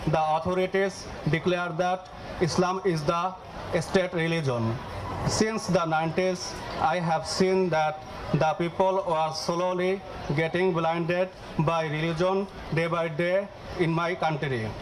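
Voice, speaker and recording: A man in his thirties speaks with a Bengali accent, his voice a little higher than most. He reads his speech carefully and hesitantly, with small uhs between phrases. Outdoor event noise sits clearly behind him. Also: steady tone, a little slow. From Bangladesh. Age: 30s. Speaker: invited speaker. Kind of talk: reading a prepared speech. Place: outdoor public rally.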